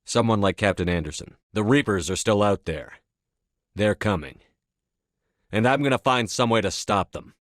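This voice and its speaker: An actor playing a resolute, no-nonsense military commander in a science fiction video game, with firm, clipped, commanding delivery. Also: male voice, lowish voice, lively intonation, moderate pace, no echo, clean studio sound, lightly acted. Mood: firm, determined. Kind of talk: video game voice acting, scripted dialogue lines.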